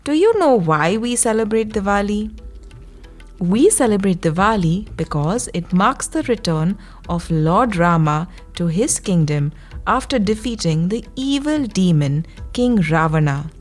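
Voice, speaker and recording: A woman tells a children's bedtime story in a gentle, soothing way. Her voice sits at a middle pitch. Soft music plays behind her as she speaks directly to young listeners. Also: lively intonation. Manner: slow, soothing guidance addressed to young children. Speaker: children's storyteller and yoga guide.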